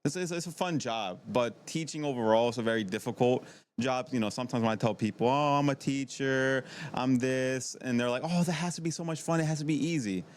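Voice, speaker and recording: A man's voice: medium pitch, brisk. A man in his twenties from Maryland, chatting casually as an interview guest in a rambling, repetitive way. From from Maryland, United States. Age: 20s. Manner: conversational, rambling, repeats himself. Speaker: podcast guest, middle school PE teacher. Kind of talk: casual chat. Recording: noticeable background noise.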